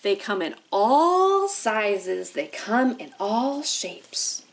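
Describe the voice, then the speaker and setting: Animated woman hosting children's storytime. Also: medium pitch, very slow, slight background noise. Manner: animated, sing-song, exaggerated wonder, asking questions. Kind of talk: interactive storytime for young children.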